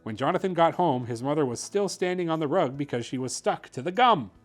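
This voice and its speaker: A man with a fairly high voice reads a bedtime story for kids. His delivery is expressive storytelling, with music playing faintly behind him. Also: a little fast. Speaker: host reading children's picture books.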